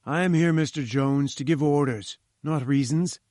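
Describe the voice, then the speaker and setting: A man's voice: fairly high pitch, normal speed, a little mellow, no echo, clean studio sound, lightly acted. A man voicing an irascible older male scientist who talks in a curt, domineering, lecturing way.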